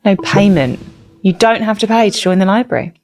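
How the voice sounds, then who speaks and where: A woman's voice: medium pitch, expressive, a little fast, a little mellow, no echo, clean studio sound, faint music behind. A woman chatting casually as a mother co-hosting children's story time, encouraging, asking questions and explaining words simply. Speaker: co-host, mother of the young reader.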